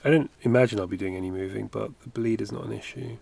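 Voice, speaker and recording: A man's voice: on the low side, fast talker, vocal fry, a little mellow. A man in his thirties chatting in a rambling, self-correcting way while drawing on a livestream, recorded on a headset at home. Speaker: animation streamer. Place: home studio, indoors.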